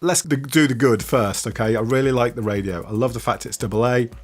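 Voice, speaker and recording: A man's voice: mid-range voice, fairly quick, loud music behind the voice. A man giving a casual, enthusiastic product review in a conversational way. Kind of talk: casual walkie-talkie review to camera.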